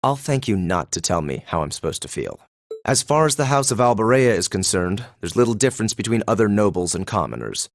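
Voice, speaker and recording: Anime game casting: duke's son, lowish pitch, clipped, cool, superior, formal phrasing. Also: male voice, very animated, fairly quick, creaky, dry recording, clean studio sound. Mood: haughty. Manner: pompous, clipped, formal, disdainful. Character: haughty, cool teenage male noble, a duke's son. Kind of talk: English anime game dub acting.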